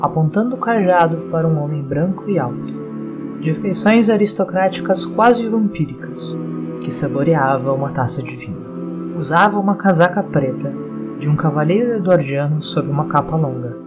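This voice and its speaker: Man, Brazilian accent, story narrator, scripted reading, slightly high pitch, clear background music.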